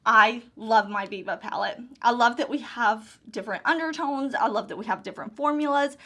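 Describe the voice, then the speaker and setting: Woman, 30s, enthusiastic beauty vlogger, higher-pitched, chatty and effusive. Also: a little fast, sharp tone. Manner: gushing, enthusiastic, repeats phrases. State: hoarse, recovering lost voice. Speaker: beauty YouTuber. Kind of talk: casual product overview.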